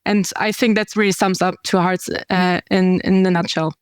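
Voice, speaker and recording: A woman's voice: on the low side, fairly even intonation, normal speed, clean tone, dry recording, clean studio sound. A woman speaking as a podcast guest, explaining her community and searching for words as she goes. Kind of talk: conversational podcast interview.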